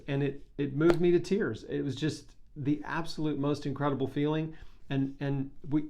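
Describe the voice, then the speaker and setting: Male video host for fundraising pitch. Medium register, casual but earnest, emphatic, talks straight to the viewer. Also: vocal fry. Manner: conversational, emphatic, with rhetorical check-in questions. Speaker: video host making a charity appeal.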